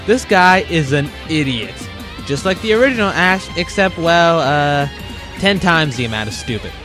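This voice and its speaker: A man's voice: on the high side, lively intonation, normal speed, music in the background. A man hosting a countdown review video in a casual, scripted narration with a wry, jokey manner. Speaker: host of a top-list video.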